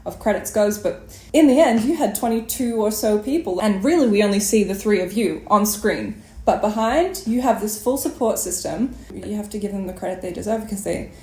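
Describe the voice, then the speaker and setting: A woman interview host with a soft-edged, mellow voice at average pitch. She speaks conversationally, appreciative and articulate. The room echoes noticeably around her. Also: expressive, brisk, hardly any pauses. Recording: roomy, echoing sound.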